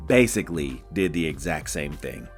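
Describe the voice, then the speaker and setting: Very deep-voiced man, laid-back banter. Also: expressive, moderate pace, faint music behind. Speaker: co-host. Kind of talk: casual wrestling chat.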